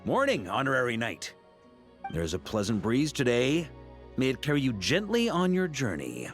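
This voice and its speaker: An actor playing a talkative, good-humored middle-aged knight grandmaster in scripted video game dialogue, with a theatrical way of chatting. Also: male voice, medium pitch, wildly swooping pitch, moderate pace, faint music behind. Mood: warm, breezy.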